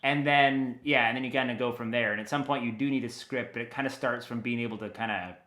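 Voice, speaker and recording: A middle-aged man chatting casually as an interview guest, telling anecdotes in an animated, informal, loosely rambling way. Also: medium pitch, fast talker, roomy sound. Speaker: guest, audio dramatist and producer.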